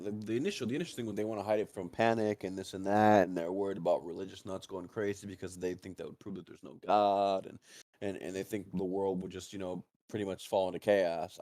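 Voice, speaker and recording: A low-pitched man talks casually as a livestream co-host, wandering from point to point with plenty of swearing. His voice is recorded dry and close, with very little room sound. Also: darkish tone, dry recording. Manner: rambling, frequently swearing. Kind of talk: casual conspiracy chat.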